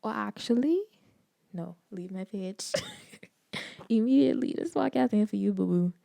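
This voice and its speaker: A young woman from the Bahamas, hosting her own podcast in candid, animated casual talk with frequent laughs and slangy asides. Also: medium pitch, a little slow, gravelly, dry recording, studio quality. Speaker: podcast host. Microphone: desk microphone.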